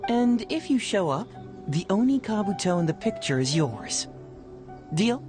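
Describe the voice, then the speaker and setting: A man's voice: high voice, sing-song, unhurried. A man playing a polite, slightly awkward event host and play narrator in an anime video game, explaining things courteously. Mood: matter-of-fact. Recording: music playing behind the voice.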